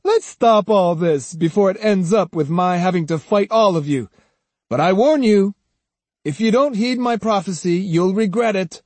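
A man voicing an arrogant young swordsman, high-pitched. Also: normal speed, a little mellow, dry recording. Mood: snide, warning. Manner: pompous, sneering, emphatic. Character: arrogant, grandly boastful, snide young male swordsman. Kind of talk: dramatised audiobook storytelling.

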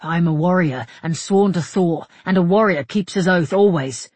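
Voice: mid-range voice, moderate pace, a little mellow, husky, no room sound, natural voice. Storybook warrior, male voiced by a narrator: blunt, wary, dutiful and defensive, in a dry recording. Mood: curt, defiant. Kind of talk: audiobook character dialogue.

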